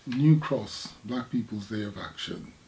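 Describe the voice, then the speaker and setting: An older man from Jamaica with a Jamaican accent, an artist recalling his past in a halting, reflective conversation. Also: lowish voice, gravelly, dark tone, a little hiss or hum, low-quality recording. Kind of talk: casual recollection in conversation.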